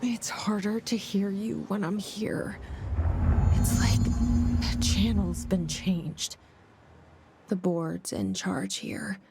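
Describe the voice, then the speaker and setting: An actress playing a guarded, introspective young reluctant leader in a hushed, wary inner monologue. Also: female voice, medium pitch, flat delivery, slow pace, vocal fry, pauses often, over loud music. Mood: uneasy, reflective. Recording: loud background noise.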